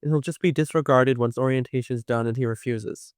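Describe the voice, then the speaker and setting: A man's voice: medium pitch, fairly even intonation, a little fast, smooth, no echo, studio quality, lightly acted. A man voicing a formal, matter-of-fact young male train worker in an audio drama. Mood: dismissive.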